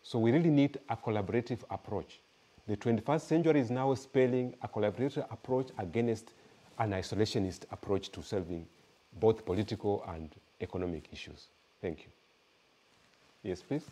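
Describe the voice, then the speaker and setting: A Kenyan man giving an academic lecture and answering audience questions, measured and searching for words as he talks. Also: on the low side, warm tone. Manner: measured, repeats words while searching for them. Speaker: guest lecturer.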